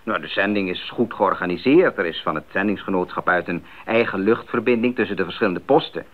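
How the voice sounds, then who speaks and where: A man's voice: sits low, fairly quick, narrow, tinny audio, close to an everyday voice. An actor playing an earnest, idealistic young missionary explaining himself to his parents in a radio drama. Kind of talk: 1980s Dutch radio drama acting. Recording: thin band-limited old radio recording.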